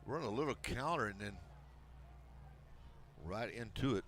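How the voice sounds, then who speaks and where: A man with a big, loud voice calling high school football play-by-play from a press box, mixing calls with folksy jokes. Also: animated, measured pace, slight background noise. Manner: folksy, joking banter between calls. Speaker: play-by-play announcer. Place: press box at outdoor stadium.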